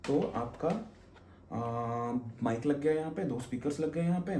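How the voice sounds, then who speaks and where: Man, Indian accent, casual review talk, mixed Hindi and English, soft dark timbre, faint background noise. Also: mid-range voice, normal speed. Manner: mixes Hindi and English mid-sentence, explanatory, frequent check-in tags. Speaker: motorcycle vlogger reviewing gear. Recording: roomy echo, noticeable background noise.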